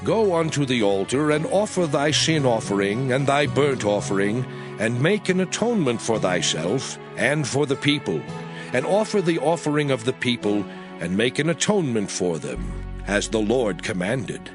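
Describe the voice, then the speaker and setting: A man's voice: medium pitch, very animated, moderate pace, over music, close to an everyday voice. A man playing a solemn, commanding prophet who declaims instructions to priests in a dramatized scripture reading. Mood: commanding, exhortatory.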